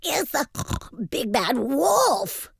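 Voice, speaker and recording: A woman voicing an excitable young boy pig in a storybook, exclaiming in alarm. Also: very high-pitched, slow, no echo, pitched above the performer's own voice, exaggerated voice.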